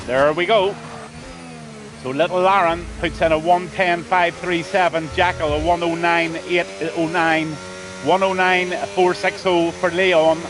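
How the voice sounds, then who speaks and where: A man's voice: sits high, steady tone, a little slow, noisy background. A man with a Scouse accent commentating a sim race on a live stream, greeting viewers by name and letting his voice trail off at the ends of lines.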